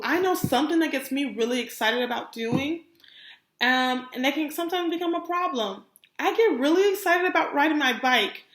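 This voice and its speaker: A woman's voice: sits high, fairly bright tone, echoey room. A woman teacher reading a children's story aloud expressively, stopping to put questions to young listeners. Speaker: kindergarten teacher.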